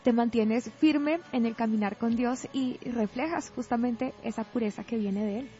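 A woman's voice: fairly high pitch, moderate pace, mellow, muffled recording. A woman co-hosting a radio interview, speaking in a devotional style as she leads into a question. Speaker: radio co-host.

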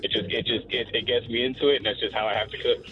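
A man's voice: medium pitch, steady tone, fast talker, background noise. A man calling in to a radio show in casual chat, sounding muffled over the phone.

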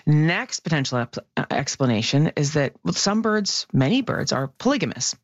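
Woman science columnist, gravelly edge, animated and loud, slightly dull broadcast sound. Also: sits low, normal speed, dry recording. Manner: lively, animated explainer, self-described loud voice. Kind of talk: radio science segment chat.

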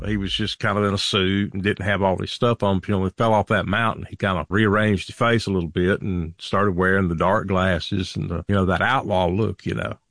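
A man's voice: low-pitched, fairly even intonation, fairly quick, few pauses, a bit bright, a little hiss or hum. A man hosting a casual chat, telling folksy stories and joking about himself. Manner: folksy storyteller, laughs at own jokes. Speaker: host.

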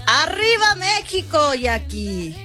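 High, bright Mexican woman, slow and cheerful, phone note. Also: big pitch swings, over loud music. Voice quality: cheerful, exclaiming. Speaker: listener sending a voice note.

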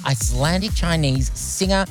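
A man's voice: on the high side, normal speed, faint music behind. A man with an Australian accent chatting as an interviewer in a gushing, enthusiastic way.

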